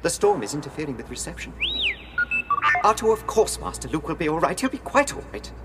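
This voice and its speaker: Fussy protocol droid, anxious, formal, very lively intonation, radio drama, prominent music underneath. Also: male voice, a little high, a little fast. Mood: anxious, reassuring. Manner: prim, formal, fretful diction. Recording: music behind the voice.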